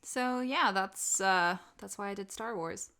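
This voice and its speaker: Bright, clear-voiced woman chatting casually. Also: medium pitch, unhurried. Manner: expressive, self-deprecating, swears casually. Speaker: audio roleplay creator and host. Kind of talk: casual subscriber Q&A, chatty talk.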